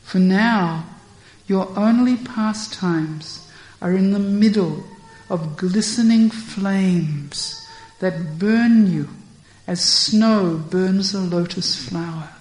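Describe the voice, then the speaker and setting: A woman voicing a stern older woman who questions a girl in measured, scolding tones, in a storytelling reading. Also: medium pitch, a little mellow, natural voice. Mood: gentle. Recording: echoey room.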